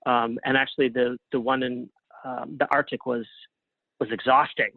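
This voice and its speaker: A man speaking as an interview guest in a casual, thoughtful, halting way over a tinny phone-like connection. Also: medium pitch, level tone, dry recording. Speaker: interview guest, playwright and artist. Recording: narrow tinny phone-like line.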